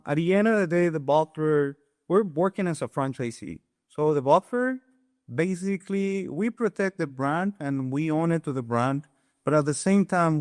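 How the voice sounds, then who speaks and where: A man's voice: on the high side, darkish tone, clear, resonant tone. A man in his forties from Mexico, with a Mexican Spanish accent, answering interview questions eagerly and often restarting his sentences. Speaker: guest, supply chain executive.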